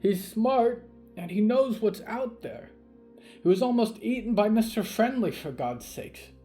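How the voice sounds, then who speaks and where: A distraught mother, as a man acts her out in an audio story. The pitch is lifted high and moves around a lot. She is defensive and pleading, agitated throughout. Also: expressive, measured pace, dark tone, faint music behind. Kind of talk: audio fiction story acting.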